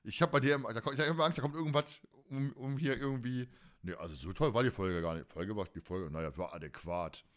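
A man's voice: medium pitch, fast. A man hosting a casual fan podcast, colloquial and teasing, with plenty of opinions. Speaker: podcast host.

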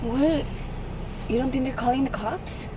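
Very high, giggly young woman. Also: unhurried, background noise. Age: young adult. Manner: giggly, excitable. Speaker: prankster's passenger and partner. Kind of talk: casual chat. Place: inside a car. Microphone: camera mic in the car. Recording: slightly distant, loud music.

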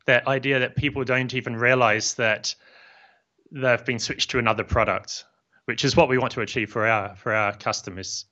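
A man in his fifties with a New Zealand accent, a software founder calmly explaining his business in an interview. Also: mid-range voice. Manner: measured and friendly, explains plainly. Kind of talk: casual interview chat. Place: home office room. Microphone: studio mic on boom arm, headphones. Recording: slightly dull lo-fi audio.

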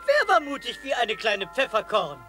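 A performer voicing a gullible, self-pitying little male gnome in a cartoon, plaintive and drawn-out. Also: medium pitch, huge pitch swings, bright, forceful tone. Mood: despairing. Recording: faint music behind.

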